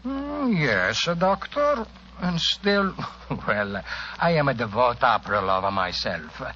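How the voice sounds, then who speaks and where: An actor playing a courtly, effusive Italian police inspector with formal, hesitant phrasing, in 1940s radio drama style. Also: male voice, mid-range voice, very animated, slow pace, pushed and bright, full character voice. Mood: effusive, courteous. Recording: dull, hissy old radio recording.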